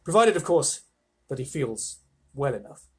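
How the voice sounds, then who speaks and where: A reader voicing a gentle, hesitant father. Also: medium pitch, big pitch swings, moderate pace, slightly rough.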